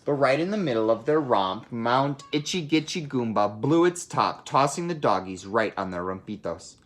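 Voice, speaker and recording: A person reading a children's picture book aloud in an animated way, with expressive asides to young listeners. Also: medium pitch, normal speed. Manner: expressive, asks listeners questions and comments in asides. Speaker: storybook reader.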